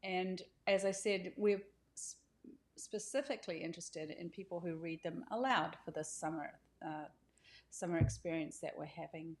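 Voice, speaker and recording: Female author-host, slightly low voice, informal and conversational, feeling her way through sentences. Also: fairly even intonation, moderate pace. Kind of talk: informal welcome talk to camera.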